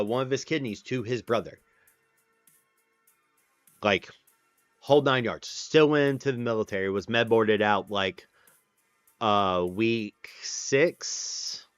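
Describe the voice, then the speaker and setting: A man's voice: mid-range voice, slow pace, long pauses, fairly bright tone, no echo, dull audio, soft background music. A man in his late thirties hosting a casual stream, rambling with lots of fillers and restarts. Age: 30s. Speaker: livestream host. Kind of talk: casual chat with viewers.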